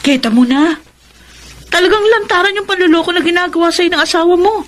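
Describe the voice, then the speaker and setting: High-voiced, scolding big sister. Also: female voice, brisk, a bit bright. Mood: exasperated, scolding. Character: blunt, scolding, protective older sister figure. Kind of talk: Filipino radio drama acting.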